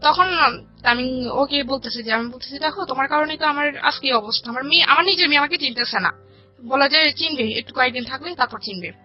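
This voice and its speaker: A young woman recounts her life as a radio guest. Her voice is fairly high and very quick, circling back and repeating phrases. The recording is slightly dull, with faint music underneath. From rural Bangladesh. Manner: rambling, repeats phrases, quotes family conversations. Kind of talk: personal storytelling in an interview. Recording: dull lo-fi audio with music behind. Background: background music.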